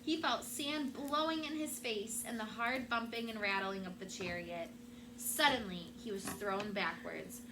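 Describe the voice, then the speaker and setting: A woman's voice: mid-range voice, a little slow. A woman teacher reading a storybook aloud to young children with dramatic, emphatic phrasing. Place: classroom. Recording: noisy room with background ambience.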